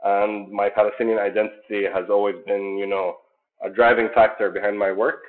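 Male interviewee from Jordan, low register, earnest and measured, thin phone-line audio. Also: flat delivery. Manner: earnest, measured, many fillers. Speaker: sports program founder. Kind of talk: interview answers.

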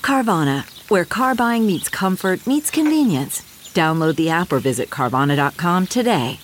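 A woman's voice: fairly low pitch, expressive, normal speed, slight background noise. A woman reading a scripted advertisement as an announcer. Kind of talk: scripted ad read.

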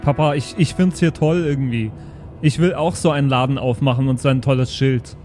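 A man's voice: mid-range voice, fairly even intonation, a little fast, darkish tone, faint music behind, pitched below the performer's own voice, close to an everyday voice. A man playing an eager, naive son who chatters insistently at his papa in an improvised tabletop game.

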